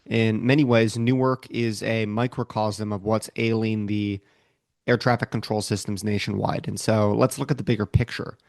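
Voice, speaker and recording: An American man co-hosting a podcast, explaining things in a measured way from prepared notes. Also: on the low side, level tone, gravelly, darkish tone, no echo, studio quality. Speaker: podcast co-host.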